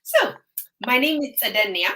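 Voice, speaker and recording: A woman in her thirties from Ethiopia, a guest on a live-stream interview over a video call, speaking haltingly with many pauses and fillers. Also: a little high, big pitch swings, bright, forceful tone. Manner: hesitant, halting, frequent uh fillers.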